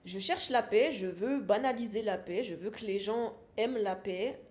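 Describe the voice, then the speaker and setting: Frank French woman, mid-pitched voice. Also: moderate pace, darkish tone. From France. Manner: frank, repeats her phrases for emphasis. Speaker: podcast guest, influencer. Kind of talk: casual interview chat.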